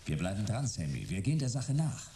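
An actor playing a dry, hard-boiled private detective in a noir radio play, speaking and narrating in terse phrases. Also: male voice, fairly low pitch, big pitch swings, brisk, warm tone. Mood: terse, determined. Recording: clear background noise.

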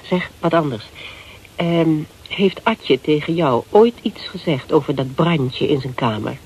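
A woman's voice: low-pitched, lively intonation, unhurried, a little mellow, slightly rough, exaggerated voice. An actress in a radio play as a tough, cool-headed female detective with a clipped, dry, brusque manner. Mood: brisk, cool. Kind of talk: Dutch radio play acting. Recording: noisy radio play with background ambience.

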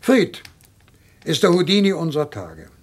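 An actor playing an older, eccentric colonel in a radio crime drama, genial and boastful, savouring his words in a theatrical, deliberate manner. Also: a little high, huge pitch swings. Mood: sly, commanding.

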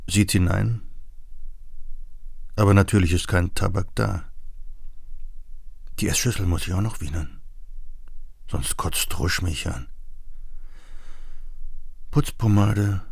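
A man reading literary narration for a radio play in a measured, deliberate manner. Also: low voice, vocal fry, breathy edge, dry recording.

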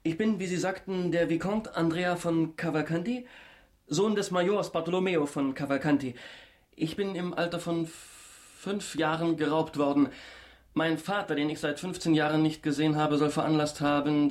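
An actor in a German radio drama playing a young impostor viscount, eager, slippery and ingratiating, with a slightly hesitant delivery. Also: male voice, a little high, fairly even intonation, moderate pace, darkish tone, close to an everyday voice. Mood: careful, probing.

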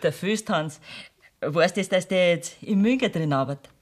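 A woman's voice: on the low side, moderate pace, natural voice. An actress playing a bitter, careworn rural mother with a Bavarian accent in a radio play, plain-spoken and aggrieved. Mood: surprised, caring. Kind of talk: German radio play acting in dialect.

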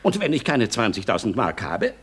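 Older dad, 1960s radio comedy: mischievous, evasive, husky, hugely animated drawl. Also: male voice, medium pitch, moderate pace. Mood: dry, defensive. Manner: theatrical drawl with sudden swoops. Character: evasive, dry older father, a bandmaster, mischievous.

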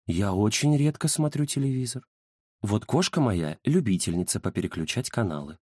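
A man voicing a sly, unflappable female fortune-teller who mutters in a deadpan way in a children's story. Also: on the low side, moderate pace, dry recording, studio quality. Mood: unruffled.

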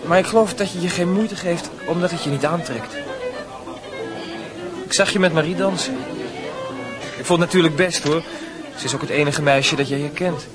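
High-voiced, earnest lovesick student acted by a man. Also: normal speed, long pauses, lightly acted. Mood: earnest, pleading. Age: young adult. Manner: insistent, emotional. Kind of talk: Dutch radio drama acting. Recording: music and crowd murmur behind.

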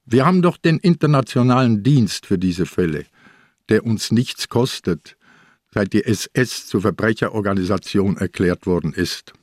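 An actor playing an old, ailing, shrewd police detective in a radio play, speaking in a deliberate, wry way. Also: male voice, lowish voice, animated, no room sound, clean studio sound. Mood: wry, confiding. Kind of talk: radio drama acting.

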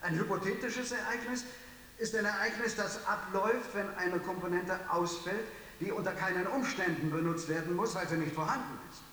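High-pitched man in a very echoey hall. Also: normal speed, pushed and bright. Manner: emphatic, didactic. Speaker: risk analyst. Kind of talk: speaking in a public discussion. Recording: very echoey hall, distant, faint hiss.

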